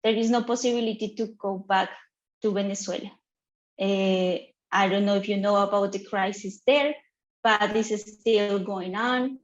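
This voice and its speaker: A woman from Colombia with a Colombian Spanish accent, a native Spanish speaker, presenting earnestly on a panel in halting English with restarts over a video call. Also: mid-range voice, fairly even intonation, echoey room, lo-fi sound. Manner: earnest, halting second-language phrasing with restarts.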